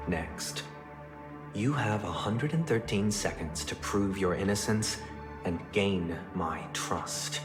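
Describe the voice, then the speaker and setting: Stern, echoing, low-voiced interrogator. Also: male voice. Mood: solemn, commanding. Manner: formal, measured, exacting, coldly courteous. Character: stern, immaculate, devout male authority interrogating a suspect. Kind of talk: dramatic video game voice acting. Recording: echoey hall reverb with music behind.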